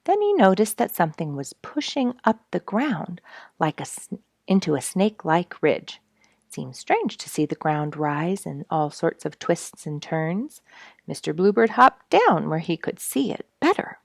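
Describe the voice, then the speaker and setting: A woman reading a children's story aloud with expressive, emphatic storytelling. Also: fairly low pitch, a little slow, gravelly, no echo, studio quality. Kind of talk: read-aloud storybook narration.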